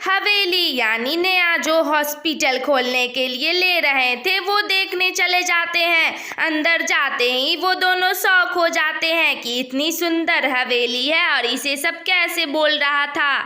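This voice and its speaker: A woman's voice: very high-pitched, slow, few pauses, pushed and bright. A woman giving scripted narration as the storyteller of a cartoon tale. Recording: roomy echo.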